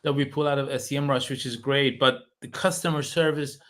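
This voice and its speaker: A man's voice: medium pitch, brisk. A man whose first language is Persian, hosting a casual interview and telling stories with frequent laughs and acted-out quotes. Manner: animated storyteller, laughs often, acts out quotes.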